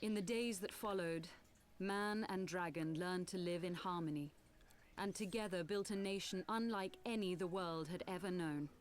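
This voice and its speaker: An actress playing a solemn noble rebel woman in a fantasy game, telling tragic history in formal, archaic language with measured delivery. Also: on the low side, slight background noise, close to an everyday voice. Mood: mournful storytelling. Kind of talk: theatrical fantasy game acting.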